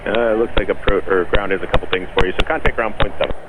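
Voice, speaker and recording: A man working as an air traffic tower controller, giving clipped routine clearances over aviation radio. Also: deep voice, steady tone, fast talker.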